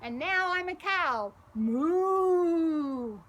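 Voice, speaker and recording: Storybook little boy, woman reader acting: high, eager, playful and excitable, unhurried delivery. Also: highs cut off, full character voice. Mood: gleeful. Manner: excitable, imitating animals and birds.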